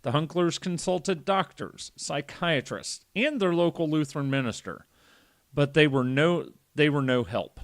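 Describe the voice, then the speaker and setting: A man's voice: on the high side, measured pace. A man hosting a podcast, reading a story aloud and breaking off for casual, irreverent, sweary asides. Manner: irreverent, profane. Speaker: podcast host.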